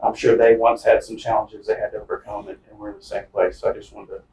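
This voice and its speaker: A man's voice: lowish voice, flat delivery, quick pace, darkish tone. A man hosting a casual interview podcast, chatty and folksy with many fillers and restarts. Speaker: podcast host and small-town mayor. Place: office conference room. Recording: roomy, distant mic with faint noise.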